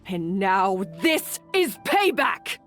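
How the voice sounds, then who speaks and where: An actress playing a bitter, despairing young woman in a video game, halting and emotional in her delivery. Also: mid-range voice, big pitch swings, fairly bright tone, soft background music. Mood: bitter, vengeful. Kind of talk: dramatic video game voice acting.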